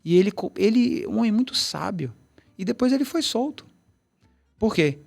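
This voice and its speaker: A man's voice: high voice, animated, normal speed, gravelly, a little mellow, soft background music. A middle-aged man, a tai chi teacher, telling long rambling stories in a casual interview, with many restarts and asides. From Brazil, Bahia.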